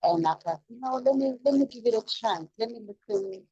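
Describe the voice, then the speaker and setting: A woman's voice: a little high, animated, a little fast, dark tone, slightly rough, no echo, dull audio. A woman in her fifties, from South Africa, a nurse introducing herself on a video call in a friendly, hesitant way. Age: 50s. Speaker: nurse, participant.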